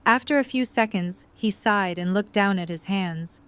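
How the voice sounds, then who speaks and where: A woman's voice: mid-range voice, normal speed, a bit bright, clean tone. A woman reading scripted story narration for an audio drama.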